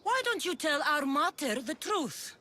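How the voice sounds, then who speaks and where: Fierce, scornful warrior with bright edge. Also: female voice, high-pitched, very animated, a little slow, heavily acted. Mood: furious taunting. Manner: scornful, challenging, intense. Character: fierce, bitter female warrior, cult champion, defiant. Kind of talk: dramatic video game voice acting.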